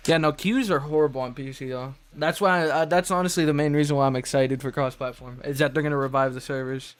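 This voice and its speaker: A man's voice: on the high side, fairly even intonation, fast. A man chatting casually with viewers as a game streamer, friendly, appreciative and slangy. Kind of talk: casual livestream chat with viewers.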